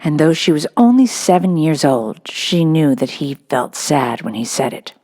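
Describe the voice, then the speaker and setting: A woman's voice: low-pitched, animated, normal speed, mellow. A woman reading a children's novel aloud as narrator, in a scripted storybook style.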